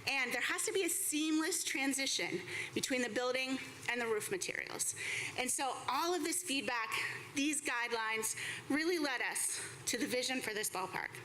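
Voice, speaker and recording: Bright, high-voiced woman giving a presentation. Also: expressive, moderate pace, low-quality recording. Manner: enthusiastic, conversational. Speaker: baseball team representative presenting at a press conference. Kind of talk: prepared presentation speech with informal asides. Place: indoor press conference room before an audience. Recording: live room with clear background noise.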